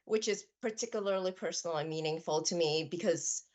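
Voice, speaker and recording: A woman from Malaysia introducing herself on a panel in a warm, personal way. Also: fairly low pitch, fairly quick. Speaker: brand director, panelist. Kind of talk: panel discussion.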